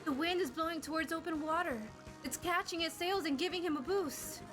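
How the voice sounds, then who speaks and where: A woman's voice: extremely high pitch, a little fast, over music. A woman voicing a confident, stern adult adventurer in amateur fantasy game dubbing, firm and composed. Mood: concerned.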